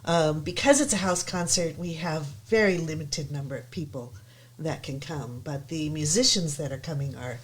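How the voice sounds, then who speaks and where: Guest, woman, low voice, hesitant, eager. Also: moderate pace, slightly rough. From United States. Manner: hesitant, many ums, enthusiastic. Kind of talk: casual chat.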